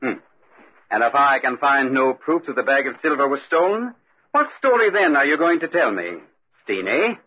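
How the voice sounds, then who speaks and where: A man's voice: mid-range voice, big pitch swings, normal speed, husky. A man playing a haughty, suspicious lord of the castle in 1940s radio acting style. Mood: commanding. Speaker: actor in radio drama. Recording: muffled 1940s radio recording.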